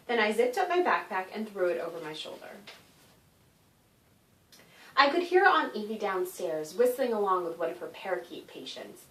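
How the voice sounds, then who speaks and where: A woman author reading a children's story aloud in an expressive, animated narration with dramatic emphasis. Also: medium pitch. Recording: roomy echo, distant microphone.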